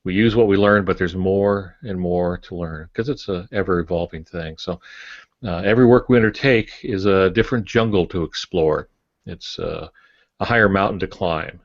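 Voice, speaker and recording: A man's voice: sits low, normal speed, no room sound, lo-fi sound. A man speaking as a podcast co-host, explaining his thoughts in a reflective, casual way. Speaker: podcast co-host discussing writing.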